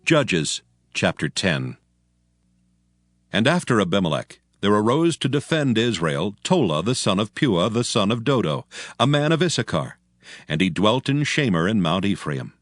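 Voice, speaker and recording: A man narrating scripture from a script, in a solemn, measured storytelling manner. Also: fairly low pitch, big pitch swings, no echo, clean studio sound.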